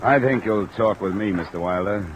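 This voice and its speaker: A man acts a dogged, hard-boiled investigator in a vintage radio mystery. His deep voice is clipped and matter-of-fact, set over a soft wash of rain on an old recording. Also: normal speed, a little hiss or hum, natural voice, poor audio. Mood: businesslike. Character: tough, dogged, cool-headed insurance investigator. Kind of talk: old-time radio drama acting and narration.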